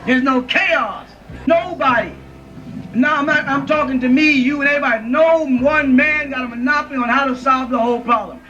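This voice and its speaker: Male lecturer, high bright voice, emphatic, audience checks, far-off lo-fi mic. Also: brisk. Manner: emphatic, keeps checking in with listeners. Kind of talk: informal lecture. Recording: dull lo-fi audio from a distant mic.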